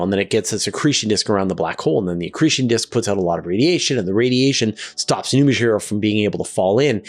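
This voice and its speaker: A man hosting a science question and answer show, explaining things conversationally with emphatic, deliberate pauses. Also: fairly low pitch.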